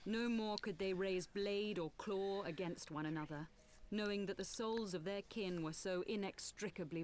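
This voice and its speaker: An actress playing a solemn noble rebel woman in a fantasy game, telling tragic history in formal, archaic language with measured delivery. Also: mid-range voice, close to an everyday voice, low-quality recording. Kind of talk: theatrical fantasy game acting.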